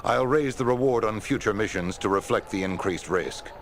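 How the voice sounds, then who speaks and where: Deep-voiced, composed quest patron played by a man. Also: a little hiss or hum, natural voice. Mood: composed, authoritative. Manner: measured, formal, authoritative. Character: shrewd, composed male quest-giving patron. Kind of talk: video game dialogue acting.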